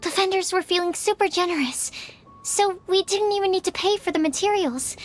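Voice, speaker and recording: A woman playing a sweet, shy, diligent young apprentice in a video game, speaking gently and politely. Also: very high-pitched, brisk, faint music behind. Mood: pleased. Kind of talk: video game character acting.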